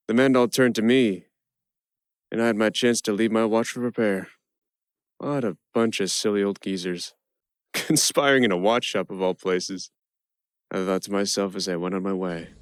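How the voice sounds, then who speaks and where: Deep-voiced man narrating wryly. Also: dry recording. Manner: wry, theatrical storyteller. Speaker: actor performing a one-man audio play. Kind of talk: first-person dramatic narration.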